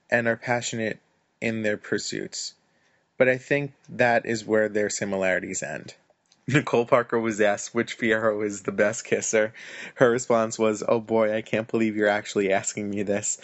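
A man's voice: lowish voice, no room sound. A man hosting a podcast, reading interview answers aloud from a script with the odd chuckle. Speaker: podcast host reading fan questions. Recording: slightly dull dry recording.

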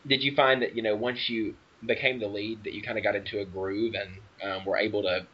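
A man's voice: on the low side, little pitch movement, a little fast, slightly rough. A man interviewing a guest for a fan website, asking hesitant, rambling questions full of fillers. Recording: dull muffled recording.

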